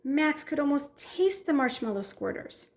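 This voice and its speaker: A woman hosting a children's story time and reading a picture book aloud in an expressive way. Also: high-pitched, normal speed. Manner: warm, expressive storytelling for kids.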